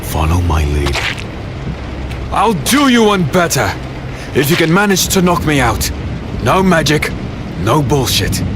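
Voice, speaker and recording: An actor playing a defiant, battle-worn swordsman who narrates and speaks in a dark fantasy audio drama, gritty and earnest. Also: fairly high pitch, huge pitch swings, unhurried, pauses often. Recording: loud storm noise under voice. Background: rain, thunder and storm ambience.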